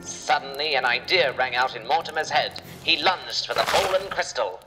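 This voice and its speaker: A man's voice: mid-range voice, normal speed, low-quality recording. Man narrating an adventure over music. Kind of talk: scripted dramatic children's story narration.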